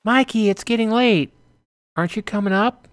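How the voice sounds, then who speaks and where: Man playing a horror game girlfriend, female, high-pitched, exasperated, incredulous, dramatic. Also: moderate pace, no room sound, studio quality, lightly acted. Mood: coaxing. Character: alarmed young woman, exasperated girlfriend in horror story.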